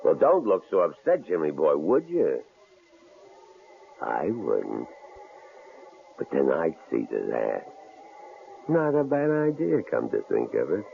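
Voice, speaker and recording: An actor playing a menacing, sneering male stranger in an old radio drama, with a gravelly, mocking drawl. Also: very deep voice, huge pitch swings, slow pace, pauses often, heavily acted. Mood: leering. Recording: tinny old radio broadcast, faint hiss.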